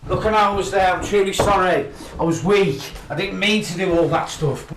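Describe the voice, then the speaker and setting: An actor playing a remorseful cheating boyfriend, begging and apologetic. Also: male voice, high-pitched, normal speed, echoey room, recorded from a distance, noisy background. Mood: pleading.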